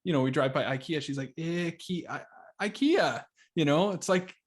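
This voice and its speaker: A man, hosting a casual podcast conversation in halting, searching phrases. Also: fairly high pitch. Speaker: podcast host.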